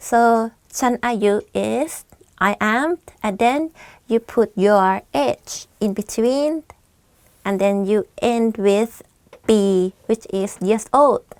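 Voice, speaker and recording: A woman's voice: fairly high pitch, measured pace, a little mellow, no room sound. A Thai woman in her twenties with a Thai accent, giving a casual language lesson full of "like" and "I mean". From Thailand. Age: 20s. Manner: chatty, many "like" and "I mean" fillers. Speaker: language teacher.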